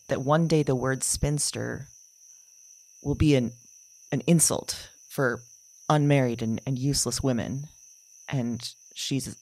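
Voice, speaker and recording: A woman playing a haunted dreamer in an audio drama, haltingly recounting visions of past lives. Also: very deep voice, darkish tone, exaggerated voice, rough recording. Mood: musing. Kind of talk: confessional audio drama monologue, halting recollection.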